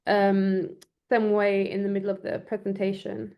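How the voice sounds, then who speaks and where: A woman's voice: mid-range voice, monotone, moderate pace, lo-fi sound. A woman law lecturer, born in Trinidad and raised in London, giving an online presentation with many ums and restarts. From Trinidad and Tobago, raised in London. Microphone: video call.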